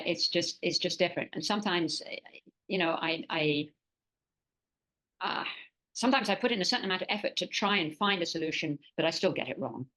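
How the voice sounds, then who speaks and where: An older woman reflecting casually as an interview guest, halting and repeating phrases as she thinks. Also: on the low side, a bit bright, poor audio. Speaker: interview guest, adult language learner.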